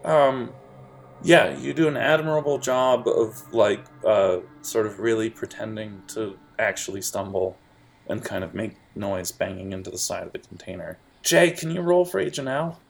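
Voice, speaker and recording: A young man running a tabletop game as game master, narrating in a relaxed, halting way with many fillers. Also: fairly low pitch, creaky, slight background noise.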